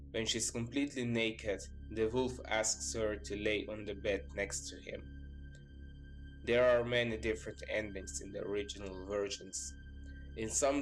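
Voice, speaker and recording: A male narrator with a fairly low voice and lively, varied intonation. He reads a prepared script in a storytelling documentary style, with music playing faintly behind him. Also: poor audio. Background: background music.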